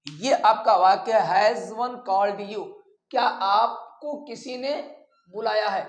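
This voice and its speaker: Slow, echoey man teaching. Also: high-pitched. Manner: didactic, repeating key terms and addressing viewers as friends. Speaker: English grammar teacher. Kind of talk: classroom-style grammar lesson. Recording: echoey room sound.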